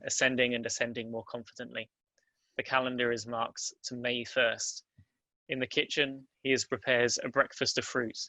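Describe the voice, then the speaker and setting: Bright, even-toned man reading stage directions. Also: mid-range voice, dry recording, low-quality recording. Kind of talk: scripted narration at a table read.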